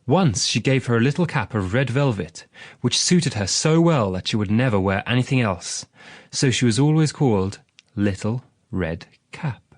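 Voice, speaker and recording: A man's voice: medium pitch, lively intonation, moderate pace, no echo, clean studio sound. A man reading a fairy tale as scripted audiobook narration.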